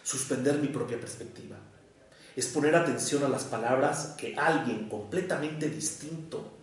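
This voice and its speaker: A psychoanalyst from Mexico with a Mexico City accent, giving an academic lecture in a measured, deliberate way with short pauses between phrases. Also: male voice, medium pitch. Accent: Mexican Spanish, Mexico City. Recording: echoey room, voice picked up from far off.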